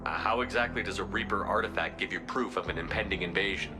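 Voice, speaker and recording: A man's voice: low-pitched, fast talker, lightly acted. A man playing a terse, commanding space military officer in a video game, blunt and clipped in his questioning. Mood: probing, skeptical. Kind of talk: video game cutscene acting. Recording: music under the dialogue.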